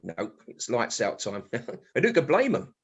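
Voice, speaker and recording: An actor with a British accent playing a wry, grumbling husband with a husky voice in a radio comedy. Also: male voice, medium pitch, huge pitch swings, a little slow, muffled recording, full character voice. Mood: startled, envious. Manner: sardonic, grumbling, exclamatory. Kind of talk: radio play comedy acting, muttered monologue.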